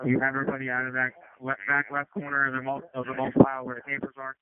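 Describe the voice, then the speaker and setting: A man's voice: medium pitch, completely flat delivery, fast talker, crowd noise. A man speaking as a fire incident commander in terse emergency radio traffic, over a two-way radio. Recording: tinny narrowband fire radio transmission.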